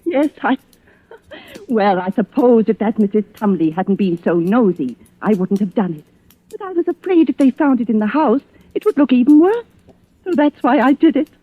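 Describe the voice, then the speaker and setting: An actress playing a tender, anxious middle-aged wife, earnest and emotional in 1940s radio melodrama. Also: female voice, a little high, big pitch swings, moderate pace, dry recording. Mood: apologetic. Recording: muffled, narrow old radio recording.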